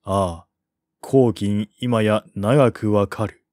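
A man's voice: fairly low pitch, steady tone, drawn-out words, darkish tone, no echo. A man voicing a composed, grieving strategist reciting a solemn lament in a historical novel. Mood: mournful.

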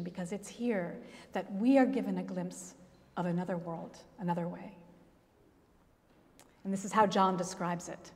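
Woman preaching with long church reverb. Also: mid-range voice. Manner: expressive storytelling with dramatic pauses and gestures. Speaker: pastor. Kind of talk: sermon. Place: large church, at a pulpit. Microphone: gooseneck pulpit mic.

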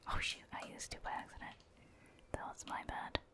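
A woman whispering casual, gentle ASMR commentary as she thinks aloud while playing a video game. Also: brisk. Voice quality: breathy, voiceless whisper. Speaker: gaming ASMR streamer.

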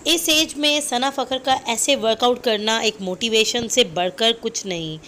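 A woman's voice: on the high side, unhurried, bright, forceful tone, a little hiss or hum. Chatty Pakistani woman voice-over. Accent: Pakistani Urdu. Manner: chatty, repetitive, mixes in English phrases. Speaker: entertainment news narrator. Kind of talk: voice-over commentary on celebrity clips.

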